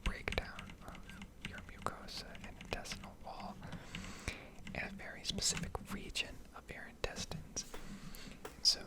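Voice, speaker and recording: A woman speaking in a soft, breathy whisper close to the microphone, rambling and explaining in a hesitant ASMR style. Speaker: ASMR video creator.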